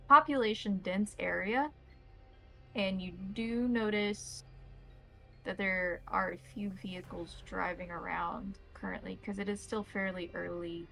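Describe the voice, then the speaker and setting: A woman's voice: medium pitch, measured pace, vocal fry, sharp tone, soft background music, poor audio. A woman running a tabletop roleplaying game as game master, narrating scenes and calling for rolls.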